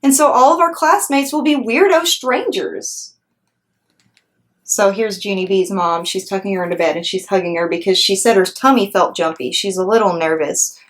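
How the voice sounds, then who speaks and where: Woman leading an interactive children's read-aloud. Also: fairly low pitch. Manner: explanatory, teacherly, addresses listeners directly.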